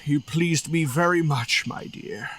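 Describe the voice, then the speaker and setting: A man playing a stern, smooth-talking pony opera manager in an audio drama, formal and imperious. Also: a little high, a little slow, a bit bright, a little hiss or hum. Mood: smug, curt.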